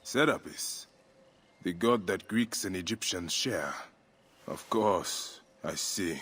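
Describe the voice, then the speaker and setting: An actor playing a grim, resolute warrior bent on justice. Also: male voice, low voice, lively intonation, fairly bright tone, breathy edge, full character voice. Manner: earnest, measured, resolute. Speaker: hero of the game.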